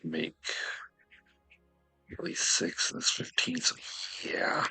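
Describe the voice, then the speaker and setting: A man giving casual gameplay commentary as a video host, thinking aloud in a halting mutter to himself. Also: sharp tone, dry recording, soft background music, low-quality recording.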